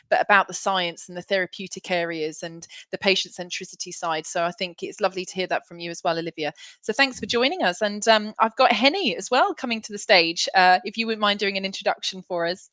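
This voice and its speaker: A woman's voice: medium pitch, sharp tone, no echo. A woman hosting an online panel, upbeat and encouraging as she brings in guests. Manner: upbeat, encouraging, laughs often. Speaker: event host. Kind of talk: webinar hosting.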